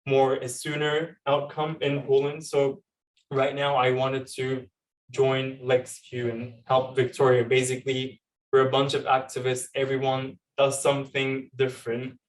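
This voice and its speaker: This is a young man speaking at a panel event. His delivery is conversational and somewhat hesitant, and his pitch stays quite flat. He sounds some distance from the microphone, as in a live event recording. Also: a little slow. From Turkey. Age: young adult. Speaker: panel speaker, law student and activist. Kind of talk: informal panel talk with personal storytelling.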